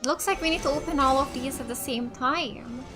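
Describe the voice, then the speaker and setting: A woman from Finland doing casual let's play commentary, reacting aloud and thinking out loud as she plays. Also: high voice, moderate pace. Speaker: gaming YouTuber. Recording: game music behind the voice. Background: video game music.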